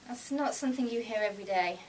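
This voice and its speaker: A woman's voice: on the high side, fast, a little mellow, pitched above the performer's own voice, natural voice. A woman voicing a sceptical, sarcastic story character who questions everything, read live from fiction. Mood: incredulous. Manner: sardonic, questioning. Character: sceptical sarcastic narrator dating Death. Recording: distant mic, noisy room ambience.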